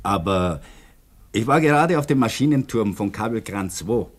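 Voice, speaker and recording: A low-voiced, thoughtful Austrian dam worker. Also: male voice, expressive, normal speed. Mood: earnest, musing. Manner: reflective, earnest. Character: thoughtful dam construction worker keeping a diary, philosophical. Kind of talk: 1950s German-language radio drama acting.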